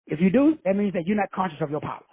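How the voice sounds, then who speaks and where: A man's voice: high-pitched, very animated, racing, husky. A man from Texas hosting a call-in show, preaching profane motivation with swagger and slang. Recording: tinny phone line, dry.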